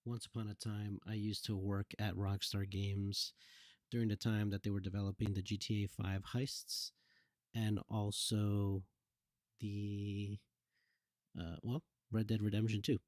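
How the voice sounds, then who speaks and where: A man's voice: on the low side, level tone, measured pace, a little mellow, dry recording, studio quality. A man doing casual livestream commentary on a game, thinking aloud and reminiscing informally as someone who helped make it. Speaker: streamer, former game cutscene assistant giving commentary.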